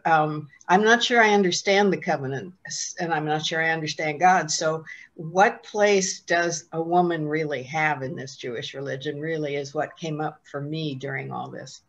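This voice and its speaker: A woman's voice: low-pitched, moderate pace. A woman taking part in a casual, reflective group discussion over a video call. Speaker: discussion participant. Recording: dull, lo-fi video call audio.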